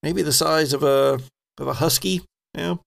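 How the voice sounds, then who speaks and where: A man narrating as game master of a tabletop role-playing game, conversational and improvised, pausing as he describes things. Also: a little high, fairly even intonation, gravelly.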